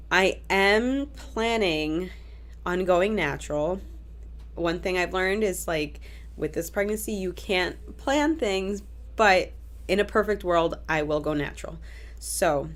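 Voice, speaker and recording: A young woman with a stuffy nose from a cold, chatting casually in a vlog update with frequent fillers and restarts. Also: medium pitch. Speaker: vlogger.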